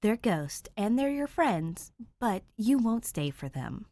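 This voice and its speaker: Bossy big sister, firm and scolding, read by a woman, lively inflection. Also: mid-range voice, slow, no echo, pitched above the performer's own voice. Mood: reasoning.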